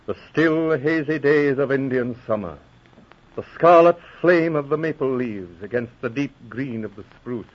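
A man narrating a 1940s radio drama in a stately, theatrical style with deliberate phrasing. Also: medium pitch. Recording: muffled old radio broadcast. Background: music.

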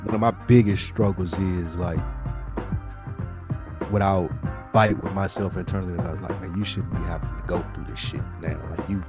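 Blunt low-voiced man talking over music. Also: steady tone, moderate pace. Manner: blunt, slangy, profane. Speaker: podcast co-host. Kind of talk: casual chat.

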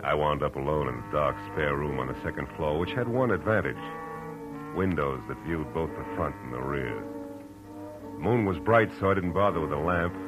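A hard-boiled American private eye with a very deep male voice. He is wry and clipped, talking in the slangy style of 1940s radio drama, with music prominent on an old recording. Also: expressive, moderate pace, poor audio. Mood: moody narrating.

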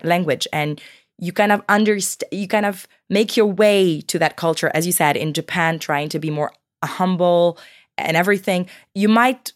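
A woman with a Brazilian accent, co-hosting a podcast in animated casual conversation, often laughing and saying "you know". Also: lowish voice, no echo, clean studio sound. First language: Brazilian Portuguese. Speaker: podcast co-host.

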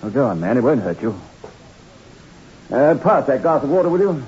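An actor playing a calm, firm doctor, soothing yet brisk, in an old radio drama. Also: male voice, mid-range voice. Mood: reassuring. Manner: soothing but brisk, commanding. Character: calm, firm, reassuring male island doctor. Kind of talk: 1960s radio drama acting. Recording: muffled, noisy old radio recording.

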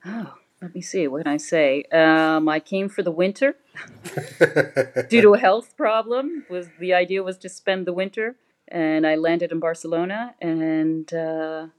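Middle-aged woman, from canada, low voice, interview guest, hesitant and thoughtful. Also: steady tone, measured pace. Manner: thoughtful, hesitant, with many fillers. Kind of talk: conversational interview.

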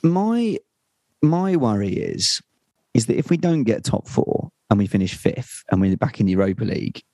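Man, football podcast co-host, gravelly husky voice, dry close sound. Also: mid-range voice, moderate pace, no echo. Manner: joking, animated. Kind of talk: casual football chat.

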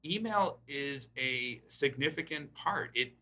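A man's voice: medium pitch, lively intonation, slow, bright, forceful tone. A man giving informal, explanatory answers as a guest in a podcast interview. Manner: informal, explanatory, checks in with "right?". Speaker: podcast guest, tech company chief executive.

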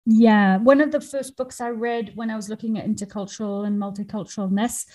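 A woman's voice: medium pitch, fairly even intonation, fairly quick, dark tone. A woman hosting a podcast interview, enthusiastic and affirming toward her guest. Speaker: podcast host.

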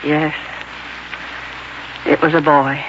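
An actress playing a comforting, resolute frontier woman, calm and solemn in 1940s radio drama style. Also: deep voice, takes it slowly. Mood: soothing. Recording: dull old radio broadcast, heavy noise.

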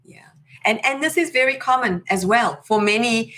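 Bright-voiced woman hosting an interview. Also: a little high, animated, moderate pace. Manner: warm-paced questions, frequent ums, laughs easily. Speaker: podcast host.

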